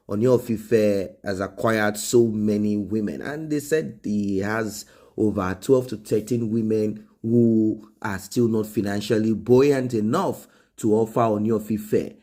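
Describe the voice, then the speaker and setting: A man's voice: fairly low pitch, a little mellow. A man with a Nigerian accent hosting an informal gossip commentary, explaining conversationally and repeating phrases for emphasis. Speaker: gossip show host.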